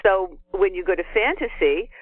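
A woman speaking as a guest author over a call, giving opinions in a measured, emphatic, matter-of-fact way. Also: mid-range voice, lively intonation, dry recording. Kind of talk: considered opinion in casual discussion. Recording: narrow tinny telephone sound.

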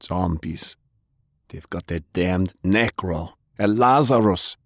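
A man's voice: deep voice, slow, dry recording, clean studio sound, heavily acted. A man voicing a grave, battle-hardened man, in an audiobook. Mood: grim. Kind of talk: audiobook character acting.

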